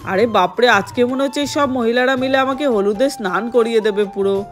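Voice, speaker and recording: Woman as whiny, high-voiced groom. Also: brisk, faint music behind, close to an everyday voice. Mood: complaining. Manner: whining, protesting. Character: reluctant, grumbling young male groom.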